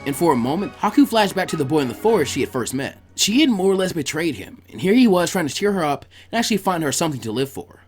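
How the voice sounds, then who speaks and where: A man reading fan fiction aloud as an expressive story narrator. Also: fairly high pitch, quick pace, hardly any pauses, faint music behind.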